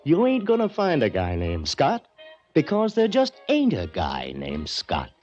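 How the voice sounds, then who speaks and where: An actor playing an evasive, wary bartender in a 1940s radio drama, talking in guarded slang. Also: male voice, fairly high pitch, huge pitch swings, measured pace, a little mellow, faint music behind, heavily acted. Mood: amused, knowing. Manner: slangy, guarded, folksy bad grammar. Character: evasive, wary bartender who sells tips. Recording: dull old radio recording.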